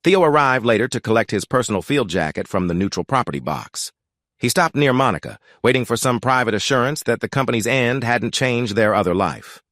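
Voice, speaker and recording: A man narrating a first-person story in a measured, sober way. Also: mid-range voice, expressive, fairly bright tone, dry recording, studio quality.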